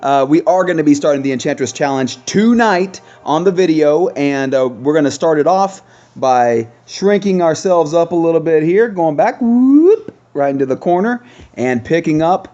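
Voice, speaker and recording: Mellow-voiced man hosting gameplay commentary. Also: a little high, lively intonation, dull audio. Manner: chatty, thinks aloud with trailing pauses. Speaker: gaming video host.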